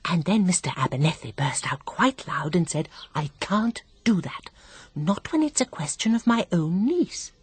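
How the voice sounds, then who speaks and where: A genteel lady companion in a radio mystery. Her voice is low and very breathy, recorded dry and close, rising and falling as she confides in fussy, earnest detail. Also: animated, moderate pace, no echo. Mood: confiding. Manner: hushed, gossipy, earnest. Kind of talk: radio drama acting.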